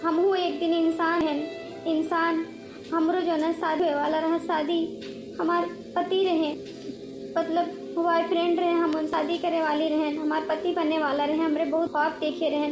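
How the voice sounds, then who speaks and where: A woman's voice: very high-pitched, little pitch movement, moderate pace, music in the background. A woman voicing an aggrieved female witch ghost in a cartoon comedy, speaking emphatically and repeating words for force. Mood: aggrieved, pleading. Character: aggrieved female witch ghost, murdered before her wedding. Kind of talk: animated comedy cartoon voice acting in dialect.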